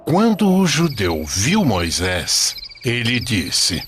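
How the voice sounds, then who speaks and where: A man playing a devout, patient old grandfather narrating a sacred animated story. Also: medium pitch, slow pace, background noise. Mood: solemn storytelling.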